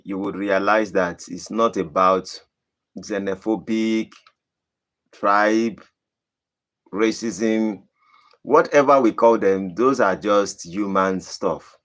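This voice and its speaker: A man from Nigeria with a Nigerian accent, hosting a live stream and answering viewer questions in a deliberate, emphatic way. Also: a bit bright. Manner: deliberate, emphatic, rising to high emphatic bursts. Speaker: channel host.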